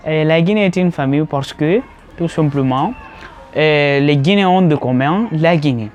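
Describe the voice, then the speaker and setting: A man's voice: a little high, moderate pace, a little mellow, highs cut off. A man from Guinea with a Guinean French accent answering a street interview, explaining and repeating himself.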